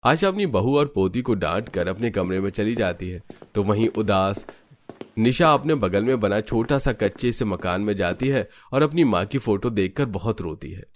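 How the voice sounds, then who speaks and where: A man's voice: lowish voice, expressive, moderate pace. A man reading scripted narration for a family drama cartoon story.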